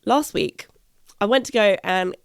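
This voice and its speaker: A British woman hosting a podcast, chatting in a rambling way with a croaky, husky voice from a chest infection. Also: mid-range voice, measured pace, no echo, clean studio sound. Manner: chatty, rambling, self-interrupting. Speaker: podcast host. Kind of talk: casual podcast chat. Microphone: podcast microphone.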